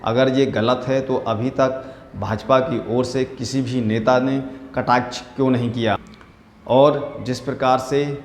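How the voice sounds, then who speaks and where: Man, news presenter, slow deliberate delivery, political commentary, long room reverb. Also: medium pitch, fairly even intonation, a little hiss or hum.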